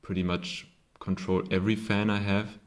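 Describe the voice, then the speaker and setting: A man's voice: fairly low pitch, level tone, normal speed. A man from Germany with a German accent, a non-native speaker, casually showing his own circuit board, hesitant with many ums and self-corrections. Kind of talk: casual show-and-tell.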